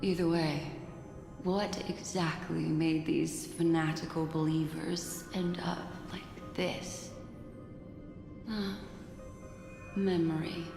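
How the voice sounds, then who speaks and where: An actress voicing a composed, enigmatic woman in a video game, musing in a measured, cryptic way. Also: low-pitched, steady tone, gravelly, full character voice. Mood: pensive. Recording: echoey reverberant space, music behind.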